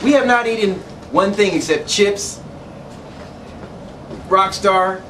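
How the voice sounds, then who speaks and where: A man's voice: high-pitched, slow. A tipsy man chatting casually with friends in a small room. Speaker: interviewee. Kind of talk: casual chat among friends. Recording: noisy handheld camera audio.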